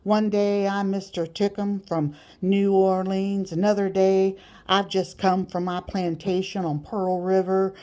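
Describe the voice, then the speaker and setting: A woman's voice: medium pitch, flat delivery, measured pace, warm tone, close to an everyday voice. A woman voicing a sly, boastful male slave catcher with rustic grammar in an audiobook. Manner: rustic nonstandard grammar, gleefully bragging. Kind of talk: audiobook character voice.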